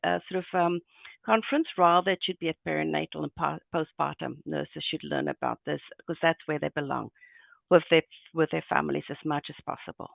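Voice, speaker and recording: A man with a South African accent speaks earnestly over a tinny, phone-like video call, his voice slightly high with a gravelly edge. The sound is dry and close, with no room around it. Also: brisk, dry recording. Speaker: neonatal nurse and guest presenter. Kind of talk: remote interview.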